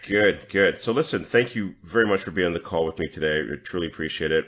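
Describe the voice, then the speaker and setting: Very deep-voiced male podcast host. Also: a bit bright, muffled recording. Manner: halting, repeats words. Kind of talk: casual podcast interview.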